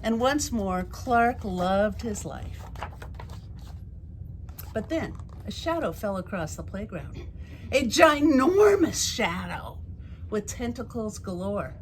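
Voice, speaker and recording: A woman reading a children's picture book aloud as a storyteller, with an expressive, deliberate delivery. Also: mid-range voice, slight background noise.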